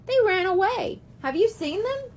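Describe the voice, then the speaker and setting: A woman's voice: extremely high pitch, expressive, moderate pace, slight background noise, pitched above the performer's own voice. A woman reading the part of an eager, worried young boy in a children's storybook. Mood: earnest. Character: eager, worried young boy looking for his lost pony.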